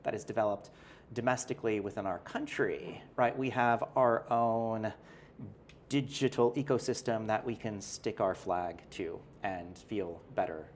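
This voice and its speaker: A man's voice: lowish voice, a little slow, a little hiss or hum. A male professor, a guest in an interview, explaining ideas in a thoughtful, hedging way with frequent "right?" tags and restarts. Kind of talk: conversational interview.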